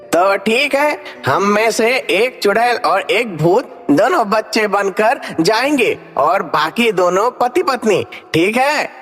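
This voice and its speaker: A performer voicing a confident, scheming shape-shifting ghost in an animated story. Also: medium pitch, takes it slowly, few pauses. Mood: confident, plotting. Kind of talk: animated Hindi story dialogue. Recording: long hall reverb, music underneath.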